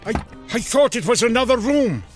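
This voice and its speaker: A very high-voiced, crotchety man out of 1890 in a comic radio drama. His delivery swings widely in pitch as he grumbles, bewildered by the modern world, with faint music underneath. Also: expressive, normal speed. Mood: flustered. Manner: alarmed, grumbling, old-fashioned bewilderment. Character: flustered, crotchety time traveler from 1890, bewildered by gadgets.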